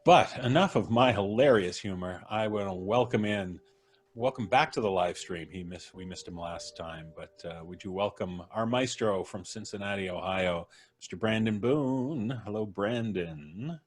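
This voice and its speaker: A man's voice: lowish voice, normal speed. A man hosting a live stream with casual banter and jokey, playfully formal introductions. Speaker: host of a podcast live stream.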